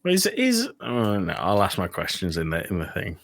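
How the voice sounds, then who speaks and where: Low-voiced guy on a podcast, very up and down, blunt, casual, dry close sound. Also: huge pitch swings, normal speed, vocal fry, dry recording. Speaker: podcast co-host. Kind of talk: casual chat.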